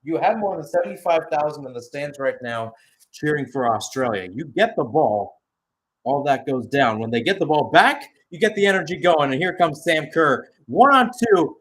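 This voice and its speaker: A man's voice: on the high side, lively intonation, moderate pace, fairly bright tone, rough recording. A man giving live play-by-play commentary on a soccer match, conversational between calls with asides and statistics.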